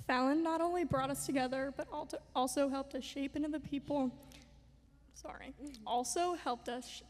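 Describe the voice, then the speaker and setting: A woman's voice: sits high, steady tone, moderate pace. A young woman who was once a student reads a prepared tribute, emotional and close to tears, in a synagogue. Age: young adult. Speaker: former student giving a tribute. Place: synagogue sanctuary. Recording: long hall reverb.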